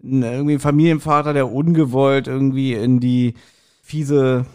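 A man co-hosting a podcast, chatting casually and retelling stories in a colloquial, somewhat halting way. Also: medium pitch, flat delivery, dark tone, clear, resonant tone. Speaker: podcast co-host. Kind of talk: casual podcast chat, reviewing audio dramas.

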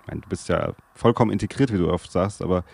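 A man's voice: low voice, fast talker, vocal fry, a little mellow. A man hosting a casual interview, asking questions with a lot of hesitation and restarts. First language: German. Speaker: host.

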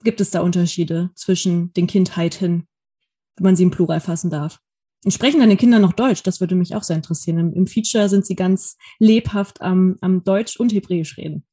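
A woman's voice: on the low side, a little fast, warm tone, husky. A woman hosting an interview, asking curious follow-up questions in a casual tone. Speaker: podcast host.

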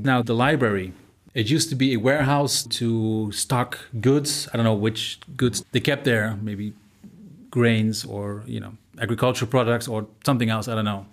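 A middle-aged man from the Netherlands with a Dutch accent, speaking as an interview guest in a relaxed, thoughtful way, with frequent fillers and restarts. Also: medium pitch. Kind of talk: conversational interview.

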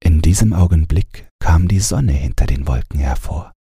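A man reading a fairy tale as a bedtime audiobook, in a gentle storytelling manner with deliberate pauses. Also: sits low, lively intonation, husky, no room sound, studio quality. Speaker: audiobook reader.

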